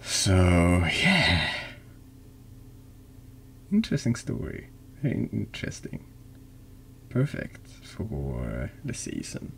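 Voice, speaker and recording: A man's voice: low voice, wildly swooping pitch, takes it slowly, vocal fry, pauses often, fairly bright tone, a little hiss or hum. A man streaming a horror text game, reading dramatically or chatting casually.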